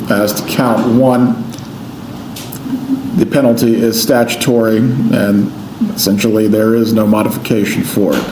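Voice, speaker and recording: An American man speaking as a judge, giving a formal, deliberate courtroom address. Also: medium pitch. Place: indoor courtroom. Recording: echoey courtroom, faint noise.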